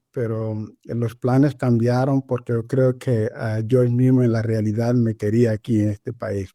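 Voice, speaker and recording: A man from Angola whose first language is Portuguese, a pastor telling his life story in a podcast interview, halting and searching for words with many fillers. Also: mid-range voice, mellow, dry recording, studio quality. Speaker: pastor, guest.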